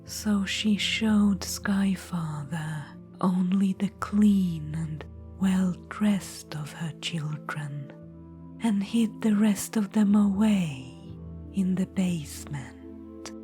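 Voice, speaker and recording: A woman's voice: medium pitch, soft background music. A woman whispering as an undead witch-queen. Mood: hushed storytelling. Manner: soft whisper throughout, slow and intimate. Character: regal undead witch-queen, possessive and menacing. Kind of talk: ASMR audio roleplay.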